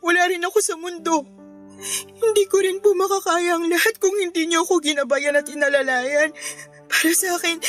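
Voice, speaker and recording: A woman playing a devoted but hurt young granddaughter in a Tagalog radio drama, speaking with earnest emotion. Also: very high-pitched. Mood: loving, pleading.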